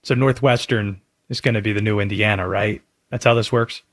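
A man with an American accent hosting sports talk in an emphatic, animated way, often asking rhetorical questions. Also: fairly low pitch, brisk. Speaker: sports talk show host.

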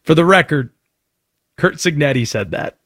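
A man with an American accent hosting sports talk in an emphatic, animated way, often asking rhetorical questions. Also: fairly high pitch, normal speed. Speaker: sports talk show host.

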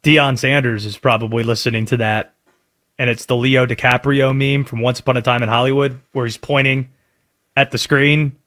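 A man with an American accent hosting sports talk in an emphatic, animated way, often asking rhetorical questions. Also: medium pitch, normal speed. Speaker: sports talk show host.

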